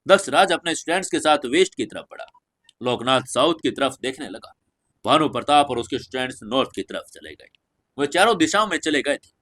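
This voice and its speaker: A man's voice: mid-range voice, very animated, fairly quick, a bit bright. A man reading a scripted fantasy audio story as narrator, with emphatic, dramatic storytelling delivery.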